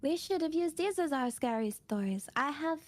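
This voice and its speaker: A woman's voice: sits high, expressive, moderate pace, a little mellow. A woman co-hosting a stream in playful banter, cutesy and teasing, mixing in some Japanese words. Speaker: co-host VTuber.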